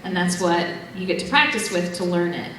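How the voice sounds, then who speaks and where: A woman's voice: fairly low pitch, brisk, fairly bright tone, slight background noise. A woman voice actress explaining the recording process on a convention panel in a chatty, upbeat way. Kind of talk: casual panel explanation to a live audience. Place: large convention hall. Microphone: audience member's camera from far off. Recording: distant echoey hall audio from audience.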